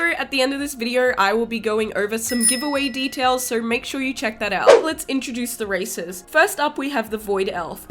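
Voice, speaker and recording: Woman, stuffy congested voice, fairly high pitch, earnest gaming-guide narrator. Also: fairly bright tone. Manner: earnest, explains terms step by step. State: congested from a cold. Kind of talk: scripted game guide narration.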